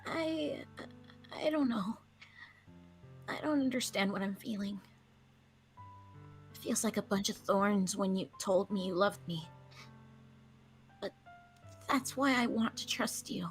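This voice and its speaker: A woman voicing a fragile, confused, lovesick high school girl in a visual novel, speaking hesitantly and haltingly. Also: soft background music, natural voice. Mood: sad, vulnerable. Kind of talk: visual novel character acting.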